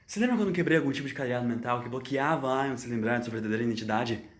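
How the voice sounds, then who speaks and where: A man's voice: medium pitch, very fast, echoey room, natural voice. A man voicing an eccentric time-travelling doctor in an audio story, confident and lecturing, with emphatic, theatrical delivery. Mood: urgent.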